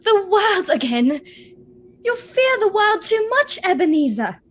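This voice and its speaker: An actress playing a sorrowful but resolute young fiancée in a radio play, measured and pausing between phrases. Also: female voice, extremely high pitch, sing-song, a bit bright, exaggerated voice. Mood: hurt, resigned. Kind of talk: theatrical radio play acting. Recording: noisy ambience behind the voice.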